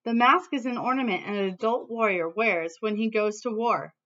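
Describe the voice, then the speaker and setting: Woman, teacher reading aloud, fairly high pitch, animated storytelling, casual asides, slightly dull lo-fi sound. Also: normal speed.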